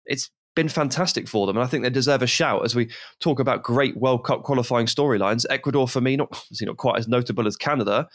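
A man's voice: medium pitch, fast talker, hardly any pauses, no room sound, clean studio sound. A man, co-hosting a football podcast and giving detailed, enthusiastic analysis. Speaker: podcast co-host.

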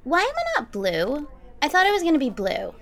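A woman's voice: high-pitched, wildly swooping pitch, fairly quick. A self-absorbed musical theatre actress in an audio comedy. She is theatrical and earnest, with a demanding diva manner. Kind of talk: audio comedy drama acting.

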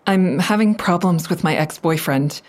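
An actress playing an anxious, hesitant woman making a halting call for help in an audio drama. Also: fairly low pitch, a little mellow, natural voice. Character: anxious, hesitant woman reporting a stalking ex-boyfriend. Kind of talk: audio drama acting, naturalistic phone dialogue.

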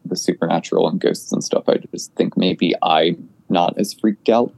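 Easygoing American male caller, deep relaxed voice, casual phone chat, chuckles often. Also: moderate pace, creaky. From southeast Pennsylvania, US. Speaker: podcast caller.

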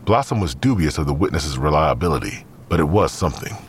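A man's voice: a bass voice, expressive, quick pace, a little hiss or hum. A man reading scripted third-person narration for an audio drama.

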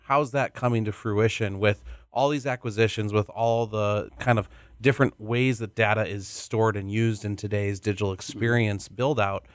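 A man hosting an interview, putting long, winding questions that build up in several parts. His voice is fairly low. The recording is dry, with no room sound. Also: steady tone, normal speed, no echo. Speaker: podcast host.

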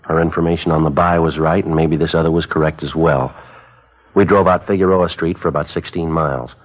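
An actor playing a cool American undercover police detective in 1950s radio drama, with a clipped, understated manner. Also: male voice, extremely low pitch, steady tone, a little fast. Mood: matter-of-fact. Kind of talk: 1950s radio drama acting and terse narration. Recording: dull 1950s radio recording.

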